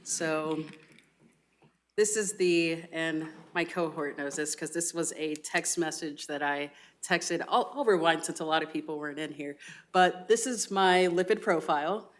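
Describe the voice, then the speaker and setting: Low-voiced woman answering lecture questions. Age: young adult. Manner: measured, thoughtful answers with frequent um and uh. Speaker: resident physician presenting a medical lecture.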